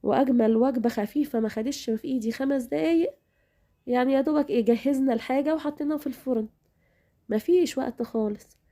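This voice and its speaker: A quick, chatty Egyptian woman walks her viewers through a recipe at home. Her voice is mellow and a little worn from a recent illness. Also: sits high. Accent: Egyptian Arabic. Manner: chatty, speaks directly to viewers. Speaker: cooking channel host. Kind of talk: home cooking demonstration.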